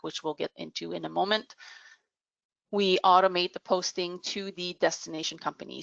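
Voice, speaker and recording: Low, creaky-voiced woman presenting a demo. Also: a bit bright, no echo. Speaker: software product demonstrator. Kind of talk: explanatory business software demo. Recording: dull, muffled lo-fi audio.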